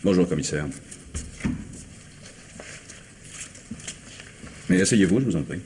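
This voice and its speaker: Guarded, deep-voiced gentleman suspect. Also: animated. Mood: courteous. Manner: measured, courteous, reticent. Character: guarded, formal bourgeois husband under suspicion. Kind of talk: French radio mystery drama acting. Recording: noisy old radio drama recording.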